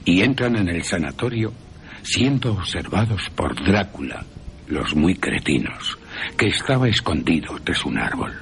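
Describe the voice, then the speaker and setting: Deep, slow, suspenseful male storyteller. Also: big pitch swings, vocal fry, a bit bright, a little hiss or hum. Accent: Castilian Spanish. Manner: theatrical, suspenseful. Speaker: narrator. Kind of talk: dramatic radio horror storytelling.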